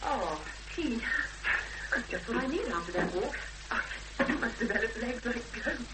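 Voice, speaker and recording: A woman playing an earnest young woman in a 1970s British radio drama, expressive and hesitant when pressed, on an old recording. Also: on the high side, moderate pace, very noisy, close to an everyday voice. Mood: polite, friendly. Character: Emma, earnest young woman searching for missing sister.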